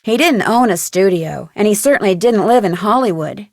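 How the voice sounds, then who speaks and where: A woman's voice: mid-range voice, expressive, normal speed, a little mellow, no room sound, studio quality. An actress playing a polite, persistent, level-headed young woman detective in a video game, inquisitive and composed. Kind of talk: scripted video game dialogue acting.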